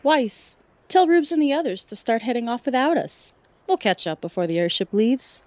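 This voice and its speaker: A woman's voice: fairly high pitch, very animated, moderate pace, darkish tone, dry recording, pitched above the performer's own voice. A woman voicing a young, extroverted huntress in an audiobook, affectionate, earnest and playful in an informal, teasing way. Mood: casual. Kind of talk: audiobook fan fiction character dialogue.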